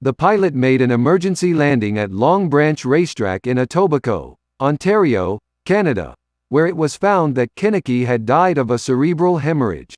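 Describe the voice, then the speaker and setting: A man's voice: fairly high pitch, big pitch swings, moderate pace, a little mellow, no room sound, studio quality. An American man giving scripted documentary narration for a local history program.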